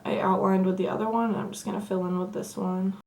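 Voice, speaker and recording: Young woman, Southern accent, hoarse and gravelly, chatty beauty tutorial. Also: medium pitch, fast, faint music behind. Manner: chatty and relaxed, says "like" often. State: hoarse from allergies, losing her voice. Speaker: beauty vlogger. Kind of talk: casual get-ready-with-me tutorial.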